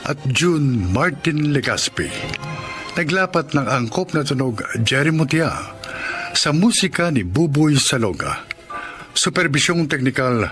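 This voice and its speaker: Slow, dramatic Filipino male radio announcer. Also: medium pitch, a bit bright. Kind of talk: formal scripted radio announcing, reading credits and introductions. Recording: music under the voice.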